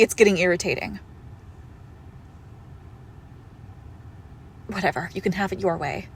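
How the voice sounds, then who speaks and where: A woman playing a possessive monstrous girlfriend in an intimate horror roleplay, condescending and darkly affectionate, with deliberate, drawn-out phrasing. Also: medium pitch, slight background noise, close to an everyday voice. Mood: irritated. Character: possessive monstrous girlfriend with shadow powers, sweetly menacing. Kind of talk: intimate horror roleplay audio, slow and hushed.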